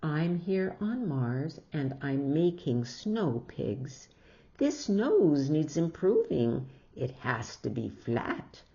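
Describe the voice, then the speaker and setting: A woman's voice: low voice, big pitch swings, takes it slowly, warm tone, exaggerated voice. A woman voicing a playful young girl who frets about losing things, in a storybook read-aloud. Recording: dull lo-fi recording.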